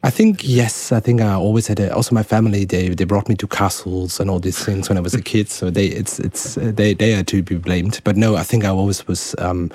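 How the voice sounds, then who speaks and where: A man's voice: fairly low pitch, steady tone, fairly quick, hardly any pauses, mellow, no echo. A man in his forties from East Germany, with a German accent, chatting as an academic guest in a radio interview. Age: 40s. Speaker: studio guest, history academic.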